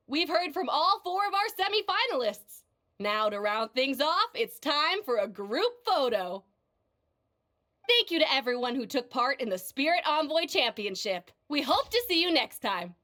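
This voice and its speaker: A woman's voice: high-pitched, very animated, measured pace, bright, forceful tone, heavily acted. A woman playing an upbeat, showy tournament announcer in a video game, hosting with polished, formal delivery. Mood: warm, presenting. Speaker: event announcer. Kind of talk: scripted game dialogue, ceremony emceeing.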